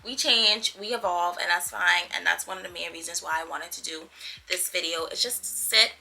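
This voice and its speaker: A woman's voice: on the low side, normal speed, creaky, sharp tone, low-quality recording. A woman of twenty-seven from the Bahamas chatting casually to her viewers as a YouTuber, playful, effusive and slangy. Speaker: YouTuber, vlogger.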